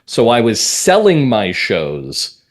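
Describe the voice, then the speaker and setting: A man's voice: lowish voice, wildly swooping pitch, slow pace. A middle-aged man talking at length in a conversational interview, explaining things as a guest. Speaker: guest, mystery playwright and script consultant.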